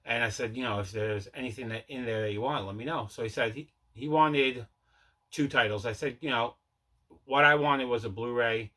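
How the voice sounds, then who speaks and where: A man with a very bright, forward voice at an average pitch hosts a casual unboxing vlog. He rambles conversationally and doubles back to correct himself as he talks. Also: moderate pace. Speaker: host of a collecting channel.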